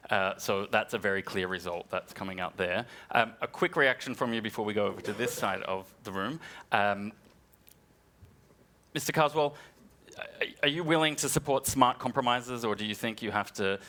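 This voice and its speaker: A man moderating a conference panel in a brisk, directive way. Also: lowish voice, pushed and bright. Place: conference hall.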